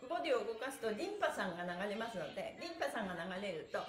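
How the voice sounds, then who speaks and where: A woman's voice: medium pitch, sing-song, quick pace. A middle-aged woman leading a live stretching class with cheerful, polite and playful coaching, chatting and counting as she goes. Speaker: stretching instructor and pantomime performer. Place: small room indoors, on an exercise mat. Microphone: camera or phone a short distance away. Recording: roomy, echoey distant device mic.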